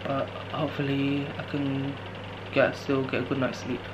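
A man's voice: medium pitch, monotone, normal speed, highs cut off. Tired young man rambling to camera. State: tired with sore throat. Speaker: travel vlogger. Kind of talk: casual solo travel vlog. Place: guesthouse room indoors, later noisy narrow street at night. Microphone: camera held at arm's length. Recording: handheld camera with clear background noise.

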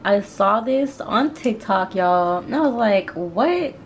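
A woman's voice: medium pitch, expressive, slow pace, slight background noise. A young woman in her twenties vlogging casually to camera in her apartment, chatty with lots of fillers. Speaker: lifestyle vlogger. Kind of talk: casual vlog talk to camera. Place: apartment, indoors.

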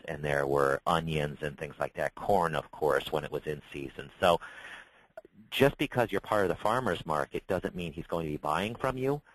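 Middle-aged man, very low voice, dry close sound, conversational, stumbling restarts. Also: no echo, rough recording. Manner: conversational, frequent restarts and repeated words. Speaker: trade association spokesman. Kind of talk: webinar Q&A answer.

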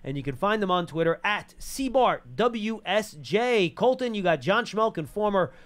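Man, sports show host, crisp tone, dry studio sound, conversational delivery. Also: sits high, animated, moderate pace, fairly bright tone, no echo. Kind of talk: conversational sports radio hosting. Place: small podcast studio. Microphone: close boom-arm studio microphone.

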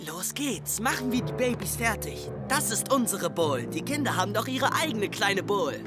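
A woman's voice: mid-range voice, sing-song, moderate pace, natural voice. A woman voicing a cocky, bullying teenage skater gang leader in a cartoon, with a sneering, taunting manner, over music. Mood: bossy, taunting. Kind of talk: German cartoon dub. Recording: loud music behind the voice.

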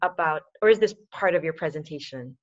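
A woman's voice: deep voice, animated, brisk. A woman in her forties from the Philippines, hosting a casual online talk and laughing often. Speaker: webinar host. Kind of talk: casual video-call hosting. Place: dim room at home. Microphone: laptop webcam mic. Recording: slightly muffled call audio.

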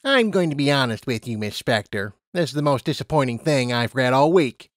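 A man's voice: medium pitch, animated, normal speed, no echo, clean studio sound, lightly acted. A man voicing a stern, disdainful aging female unicorn personnel officer in a storybook reading, formal and condescending.

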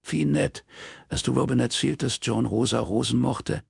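A man acts out a kindly grown woman in an audiobook, a sister in mourning with a gentle manner. The voice is lifted well above his usual register, clean and closely recorded. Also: medium pitch, fairly even intonation, normal speed, creaky, husky, dry recording, studio quality, voice pushed up, full character voice. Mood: warm, pleased. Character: grieving man's warm, gentle adult sister. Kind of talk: audiobook character dialogue in German.

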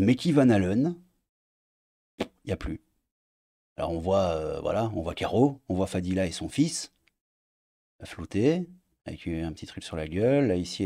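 A man in his thirties with a Belgian French accent, talking in a casual, sarcastic commentary vlog from his home desk and jumping into high mocking tones. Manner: sarcastic, animated, swings pitch up for mock emphasis. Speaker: YouTuber commentator.

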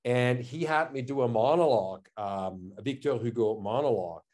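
Enthusiastic actor on a video call. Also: male voice, medium pitch, moderate pace, darkish tone. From Switzerland. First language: German. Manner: enthusiastic, repeats words, many "you know" and "I mean". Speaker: actor, interview guest. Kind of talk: casual interview chat. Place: living room at home, indoors. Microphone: video call, webcam.

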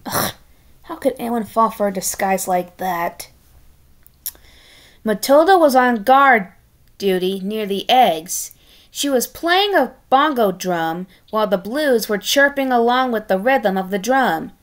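A woman's voice: on the high side, animated, unhurried. A woman reading a storybook aloud expressively, with wry, sardonic asides. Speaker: storybook reader.

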